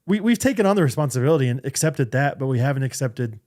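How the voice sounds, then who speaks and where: A man's voice: medium pitch, lively intonation, quick pace, a little mellow. Easygoing man hosting a podcast. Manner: easygoing, joking questions. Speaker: podcast host. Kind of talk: casual chat.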